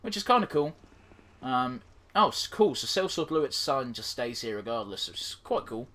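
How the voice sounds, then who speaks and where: A man's voice: medium pitch, sing-song, moderate pace, fairly bright tone. A man with a blocked nose giving casual, swear-laden commentary while playing a game, trailing off with fillers. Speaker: gaming commentator. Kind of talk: casual let's-play commentary.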